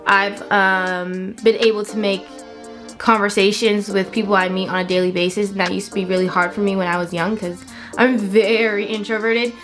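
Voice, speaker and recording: A woman's voice: mid-range voice, level tone, normal speed, a bit bright, faint music behind. A woman of twenty-one talking casually to her viewers as a vlogger, in an upbeat, encouraging, chatty way with emphatic repetition. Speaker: vlogger hosting own channel. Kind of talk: casual chat to camera.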